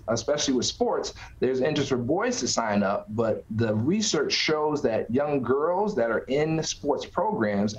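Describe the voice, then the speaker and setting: A middle-aged man, a nonprofit director, answering interview questions conversationally over a video call from an office. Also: mid-range voice. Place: small office, indoors. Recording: slightly dull video-call audio.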